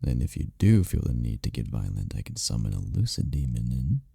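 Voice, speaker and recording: Man acting as male incubus lover, very dark husky voice, soothing, teasing, intimate ASMR roleplay, close dry mic. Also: big pitch swings, a little fast, no echo, exaggerated voice. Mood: tender, reassuring. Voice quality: gravelly, dark low voice. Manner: soft, soothing, teasing, lapses into whispers. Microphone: close microphone, dry.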